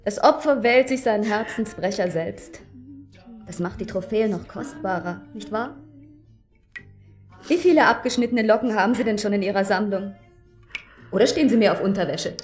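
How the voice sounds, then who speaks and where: A woman's voice: medium pitch, normal speed, pauses often, faint music behind, lightly acted. An actress in a German radio drama playing a bitter avenging woman who confronts a seducer with sarcastic, accusing, pointed questions. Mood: cold, mocking.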